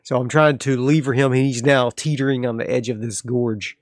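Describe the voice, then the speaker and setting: A man's voice: mid-range voice, steady tone, moderate pace, dry recording, studio quality. A man hosts a solo tabletop role-playing game, casually thinking aloud as he narrates moves and reads out rules.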